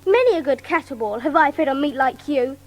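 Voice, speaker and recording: Cheeky fearless young girl, high voice, very up and down, snappy comebacks. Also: expressive, brisk, noisy background. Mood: defiant. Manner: pert, crisp retorts. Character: defiant, plucky, sharp-tongued young girl. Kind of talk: dramatised audiobook acting.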